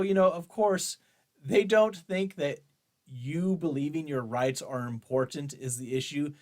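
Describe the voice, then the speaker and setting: Higher-pitched man giving opinionated commentary. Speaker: host of an opinion show. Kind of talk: article read-along with running commentary.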